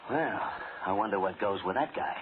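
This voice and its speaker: An actor playing a wry, businesslike radio producer in an old-time radio drama. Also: male voice, on the low side, lively intonation, normal speed, noisy background, lightly acted. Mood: relieved, wry. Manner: wry, businesslike, hesitant with uhs. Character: brisk, wry radio show producer in charge. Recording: tinny old radio recording with background voices.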